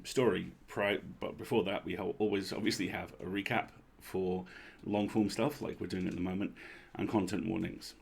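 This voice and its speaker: A man with a husky, fry-edged voice hosts a livestream. His talk is informal and chatty, welcoming listeners like regulars as he explains how things run. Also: lowish voice. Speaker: livestream host and story reader. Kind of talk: casual livestream chat.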